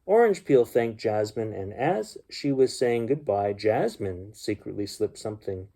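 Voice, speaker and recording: A man with a soft-edged, mellow tone reads a bedtime story for children. His delivery is gentle and unpolished, moving between storybook reading and informal talk to his young listeners. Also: on the low side, lively intonation, unhurried. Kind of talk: storybook read-aloud with casual asides.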